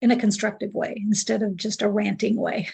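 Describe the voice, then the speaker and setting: Gravelly, husky woman in her sixties. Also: medium pitch, flat delivery, brisk, darkish tone. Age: 60s. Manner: candid, reflective, repeats phrases back. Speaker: client in a tapping session. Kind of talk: echoing affirmations after the practitioner.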